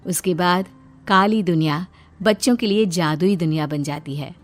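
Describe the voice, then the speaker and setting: A woman's voice: on the low side, moderate pace. A woman reading scripted narration for a cartoon story.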